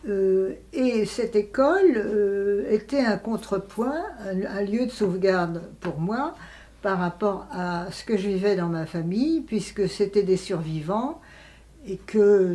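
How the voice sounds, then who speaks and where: An elderly woman, speaking reflectively in an interview, often pausing to search for words. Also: fairly low pitch, dark tone. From France. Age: 80s. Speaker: interviewee, writer and translator.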